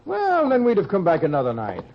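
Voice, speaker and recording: An actor playing a sly, freeloading gambler, a smug false friend who talks in a condescending, coaxing way in old-time radio drama. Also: male voice, high voice, wildly swooping pitch, fairly quick, slight background noise, exaggerated voice. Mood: coaxing, chiding. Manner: smooth-talking, condescending, mocking. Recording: dull lo-fi radio-style recording.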